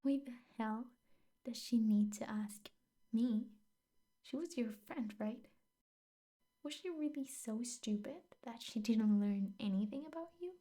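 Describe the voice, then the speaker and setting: A young woman playing a possessive, sweetly menacing teacher girlfriend in an ASMR roleplay, speaking softly, intimately and often in whispers. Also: a little high. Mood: jealous, scornful. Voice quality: soft, breathy, often whispered. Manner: slow, intimate, coaxing with veiled threats.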